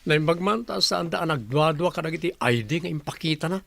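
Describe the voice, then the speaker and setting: A man's voice: a little high, expressive, a little fast, vocal fry, fairly bright tone. A man with an Ilocano accent acting in a radio play as a breezy, defensive, free-spending married son. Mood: eager.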